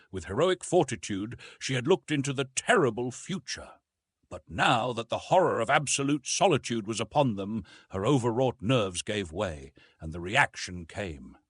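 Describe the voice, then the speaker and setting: Male narrator, husky, very varied pitch, dry close sound, solemn, dramatic literary reading. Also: a bit bright, no room sound. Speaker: audiobook narrator.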